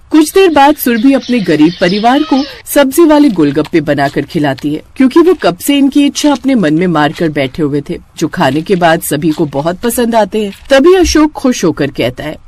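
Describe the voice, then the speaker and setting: उतार-चढ़ाव से कहानी सुनाती महिला. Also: female voice, on the low side, a little mellow. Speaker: storyteller. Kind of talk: scripted animated storytelling narration.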